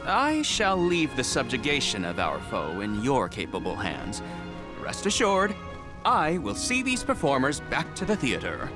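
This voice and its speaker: An actor playing a theatrical, smug scholar in a video game, with a pompous, flowery delivery. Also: male voice, medium pitch, huge pitch swings, measured pace, over music. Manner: pompous, florid. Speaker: scholar character.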